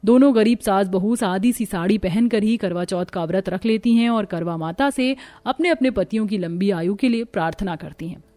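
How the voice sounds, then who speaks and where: A woman's voice: mid-range voice, moderate pace, hardly any pauses, dark tone. A woman narrating a scripted family story in a dramatic storytelling style.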